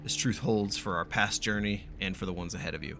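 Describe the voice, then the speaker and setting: A man's voice: low voice, fairly quick, creaky, sharp tone, soft background music. A man streaming a game, reading its text aloud in a casual, profane way and stumbling now and then. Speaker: gaming streamer doing a playthrough.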